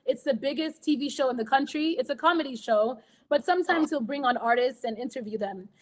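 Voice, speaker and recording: A woman in her thirties with an American accent, telling her story as a guest in a casual interview, animated and laughing as she acts out what others said. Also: high-pitched, brisk. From Kenya. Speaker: guest artist.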